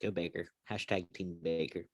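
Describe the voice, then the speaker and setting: Male sports co-host, very low voice, close dry sound, informal and blunt, rambles through opinions. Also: fairly even intonation, moderate pace, no room sound. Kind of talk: casual opinionated sports chat.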